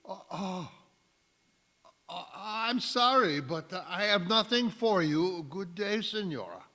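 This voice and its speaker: Man acting high, sharp, puzzled employer. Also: big pitch swings, slow pace, full character voice. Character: brisk, puzzled foreign employer turning away applicant. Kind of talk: radio drama acting before an audience. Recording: echoey hall, long reverb.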